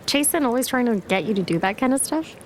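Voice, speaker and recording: Bubbly young sister played by an actress: very high, swooping voice, cheeky and gushing, romcom podcast, slight ambient noise. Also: female voice, lively intonation, fast talker, lightly acted. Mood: playful, excited. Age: young adult.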